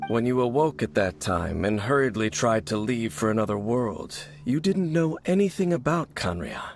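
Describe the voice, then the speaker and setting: A man voicing a solemn, formal knight in a video game, speaking in a measured, grave way. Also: mid-range voice, expressive, soft background music, lightly acted. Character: solemn male knight, grave keeper of ancient secrets.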